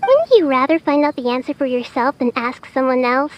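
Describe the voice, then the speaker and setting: A woman voicing a mysterious, composed young stranger in a video game, speaking in a cryptic, measured manner. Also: close to an everyday voice. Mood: calm, enigmatic. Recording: dull audio with faint rumble.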